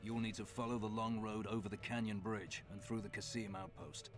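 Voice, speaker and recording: A man's voice: lowish voice, steady tone, fairly quick, over music, lightly acted. A man playing a businesslike desert gate guard in a fantasy video game, plain and matter-of-fact.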